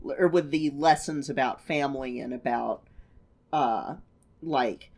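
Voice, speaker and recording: A woman with a low voice chats casually as a podcast co-host. She speaks slowly and haltingly, finding her words as she goes. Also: a little mellow. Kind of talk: casual chat about a film.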